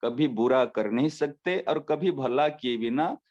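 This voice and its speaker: A man giving a devotional discourse as a spiritual teacher, explaining with everyday examples and slowly repeating his key phrases. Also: medium pitch, lively intonation, darkish tone. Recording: dull lo-fi recording.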